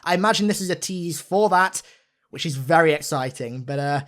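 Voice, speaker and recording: A man's voice: fairly high pitch, moderate pace, a bit bright. A young man giving an informal, enthusiastic video game breakdown with an emphatic, gushing manner. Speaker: video game content creator.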